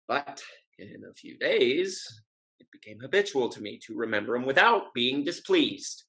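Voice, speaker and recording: A man performs a witty, amorous gentleman schemer from a Restoration stage comedy. His voice is bright and forward. He speaks ornate period lines with wry, articulate polish. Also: medium pitch, poor audio. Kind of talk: Restoration comedy play reading.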